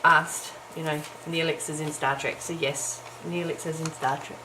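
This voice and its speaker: Croaky low-voiced woman. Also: flat delivery, normal speed. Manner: chatty and self-deprecating, with frequent ums and little laughs. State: croaky morning voice. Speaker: crafting vlogger. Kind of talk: casual chat while crafting. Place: home, early morning. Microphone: camera's built-in mic. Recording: noisy home camera recording.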